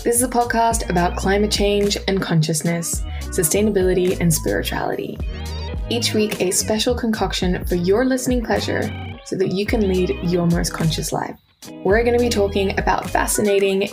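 Gravelly woman hosting a podcast. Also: medium pitch. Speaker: podcast host. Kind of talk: podcast intro and interview.

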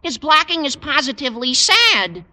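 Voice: high voice, sing-song, pushed and bright, exaggerated voice. An eager, fretful teenage schoolboy. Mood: agitated. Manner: eager, rapid, fretful chatter. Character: excitable teenage boy student, chatty and earnest. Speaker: high school student driving his teacher. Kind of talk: 1950s radio sitcom acting.

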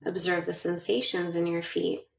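A woman guiding a meditation with gentle, measured instructions and long pauses, speaking in narration style. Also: on the low side, little pitch movement, gravelly. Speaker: meditation guide. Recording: roomy echo, distant from mic.

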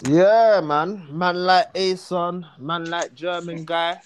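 A man's voice: high voice, steady tone, slow, smooth. Brief: man, live stream co-host, slangy, chatty, easygoing. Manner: laid-back street slang, chatty, laughs often. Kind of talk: casual live chat.

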